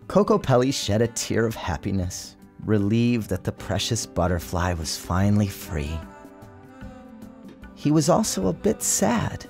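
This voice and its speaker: Deep-voiced man narrating a storybook dramatically. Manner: theatrical, measured, expressive. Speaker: author reading his book aloud. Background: music behind the narration.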